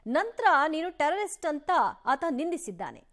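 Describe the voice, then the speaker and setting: A woman's voice: high voice, very animated, moderate pace. A woman news anchor, presenting live news.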